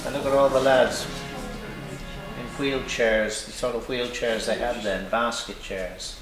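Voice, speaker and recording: A man chats casually as he comments on pictures. His medium-pitched voice sounds roomy and competes with loud music. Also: fairly even intonation, a little slow. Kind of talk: casual remarks about old photos. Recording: echoey room under loud music.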